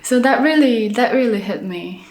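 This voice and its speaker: A middle-aged Korean woman with a Korean accent, an architect talking about her life in an interview. She speaks in a halting, thoughtful way with many restarts and soft fillers. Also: fairly high pitch, expressive, reverberant. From South Korea. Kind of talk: reflective interview conversation.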